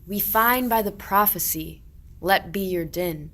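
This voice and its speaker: A woman's voice: medium pitch, unhurried, bright, forceful tone. A woman playing a pious, earnest medieval shepherd, declaiming rhymed verse in a radio play.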